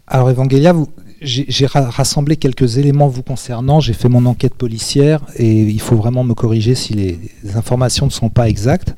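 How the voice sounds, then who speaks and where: A man moderating an onstage interview at a translation conference, halting and hesitant, with many pauses and restarts. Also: medium pitch, fairly even intonation, warm tone, no echo.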